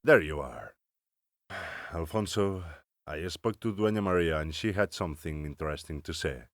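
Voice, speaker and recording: A man playing a stern, solemn older vampire-slayer elder, speaking gravely and formally in a cinematic audio roleplay. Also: low-pitched, expressive, moderate pace, no room sound, studio quality. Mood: commanding.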